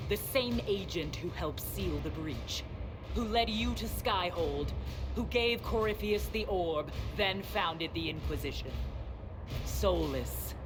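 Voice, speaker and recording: A woman's voice: on the low side, animated, slow. A woman playing a stern, menacing zealot spymaster in a fantasy video game, speaking in a formal, declamatory, accusing way. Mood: cold accusation. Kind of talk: dramatic video game acting. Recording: loud music behind.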